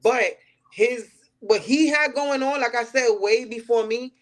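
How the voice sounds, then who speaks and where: A woman in her late thirties with a high voice and a New York accent. She talks candidly as a podcast guest, stressing her points with emphatic repetition. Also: measured pace. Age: late 30s. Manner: emphatic, repeats phrases for stress. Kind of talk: candid interview talk.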